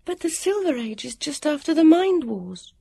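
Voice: sits high, big pitch swings, moderate pace, a little mellow, voice pushed up. The narrator voicing an uneasy, earnest young girl. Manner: uneasy, a little queasy.

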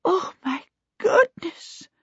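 A woman's voice: very high-pitched, very animated, unhurried, a little mellow, no room sound, highs cut off, voice pushed up, exaggerated voice. A woman voicing a fussing, easily frightened mother in a storybook, with a gasping, quavering, wailing delivery. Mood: frightened, flustered.

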